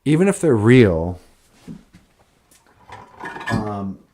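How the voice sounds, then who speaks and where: Low, slow-talking man on a livestream. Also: sing-song, mellow. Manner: meandering, trailing off and correcting himself. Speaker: live streamer answering chat. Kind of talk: rambling conspiracy-themed livestream chat.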